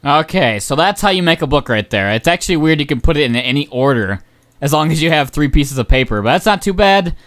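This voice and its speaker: A young man giving casual, rambling gameplay commentary as a channel host, excitable and swearing often, his voice going hoarse. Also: a little high, fairly quick. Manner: rambling, excitable, swears, many ums and uhs.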